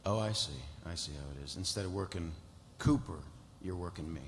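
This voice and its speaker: Male actor as bullying client, lowish voice, blunt, aggrieved, live drama read, reverberant hall. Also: a little fast, lightly acted. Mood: irritated, demanding. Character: angry, demanding male corporate client, foul-mouthed and bullying. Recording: echoey hall, faint background noise.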